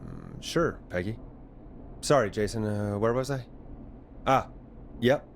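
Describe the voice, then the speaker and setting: An actor playing a radio DJ in a horror game, measured, dry and probing as he questions a caller. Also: fairly low pitch, a little hiss or hum. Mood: concerned, probing. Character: middle-aged radio DJ calmly probing a dark mystery. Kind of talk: dramatic video game voice acting.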